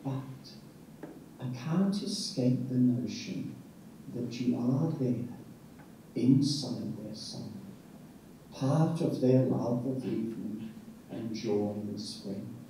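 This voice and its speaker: Man reading verse slowly, dark, echoey. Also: mid-range voice. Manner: slow, reflective recitation. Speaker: poet reading his own poem. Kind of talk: poetry reading. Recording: echoey, distant, faint noise.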